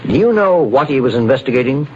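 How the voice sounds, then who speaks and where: An actor as a calm, commanding investigator. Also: male voice, medium pitch, natural voice. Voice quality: measured, authoritative. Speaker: detective lead. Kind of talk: 1940s radio acting. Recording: dull lo-fi old radio recording, faint hiss.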